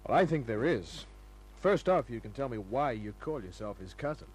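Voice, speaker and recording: An actor playing a hard-boiled private detective, laconic, breezy and sardonic, in the manner of old-time radio drama. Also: male voice, mid-range voice, big pitch swings, moderate pace, slightly rough. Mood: cool, wry. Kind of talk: old-time radio acting and first-person narration. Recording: noisy humming old radio recording.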